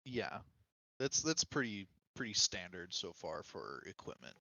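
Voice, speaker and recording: A man's voice: sits low, big pitch swings, a little slow, gravelly, pushed and bright, no echo. A man talking casually about video games as a speaker on a podcast. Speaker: podcast co-host or guest. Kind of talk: casual video game discussion.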